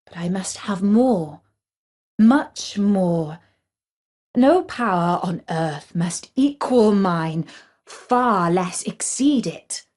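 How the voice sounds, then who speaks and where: A woman's voice: mid-range voice, animated, extremely slow, darkish tone. A woman reading the part of a haughty, greedy, boastful prince in a children's storybook. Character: haughty, greedy, power-hungry wicked prince.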